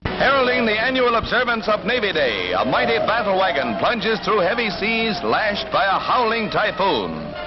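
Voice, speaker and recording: A man's voice: high-pitched, big pitch swings, moderate pace. An American man delivering scripted 1940s newsreel narration in a dramatic, grandiose manner. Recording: dull vintage newsreel, loud music. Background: loud music.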